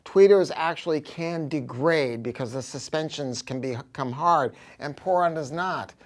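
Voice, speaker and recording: An American man giving an informal, off-the-cuff product pitch as a dealer, talking in an emphatic, slangy, salty way. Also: measured pace. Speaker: audio dealer presenting a product. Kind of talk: informal product review, off-the-cuff sales talk.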